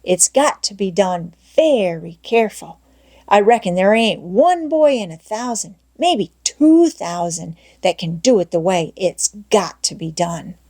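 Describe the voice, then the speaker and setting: A woman voicing a sly boy in an audiobook, with folksy rural phrasing and cagey, drawn-out reluctance. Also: medium pitch, lively intonation, a little mellow. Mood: mock reluctant. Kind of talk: audiobook character voice.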